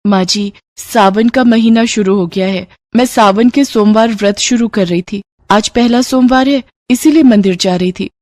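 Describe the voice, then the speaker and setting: A woman's voice: medium pitch, normal speed, few pauses, darkish tone, no room sound, natural voice. Meek, long-suffering young daughter-in-law, voiced by a woman: mid-range voice, humble and pleading, for a devotional tale. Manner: respectful, pleading. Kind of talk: devotional story dialogue.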